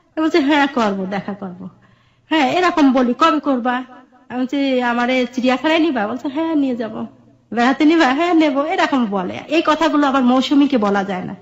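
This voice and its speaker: Middle-aged woman, Bangladeshi accent, high voice. Earnest personal storyteller in interview, lo-fi sound. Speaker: guest telling her life story. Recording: dull, muffled lo-fi audio.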